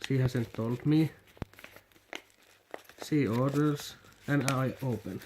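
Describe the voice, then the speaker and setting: Slow-talking Finnish man unboxing toys. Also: mid-range voice, background noise. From Finland. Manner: hesitant, repeats words, halting non-native English. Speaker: toy unboxing vlogger. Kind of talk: casual unboxing commentary.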